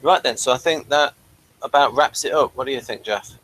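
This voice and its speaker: A man's voice: medium pitch, a little fast, fairly bright tone, low-quality recording. A man co-hosting a casual review chat, speaking in a hesitant, understated way. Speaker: co-host of an episode review show.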